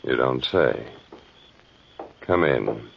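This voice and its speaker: A man's voice: extremely low pitch, very slow, creaky, lo-fi sound, very noisy, heavily acted. A man playing a creepy, courteous recluse in a suspense radio drama, speaking formally with ominous politeness. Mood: ominously polite. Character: creepy, courteous recluse host of a lonely woodland cabin.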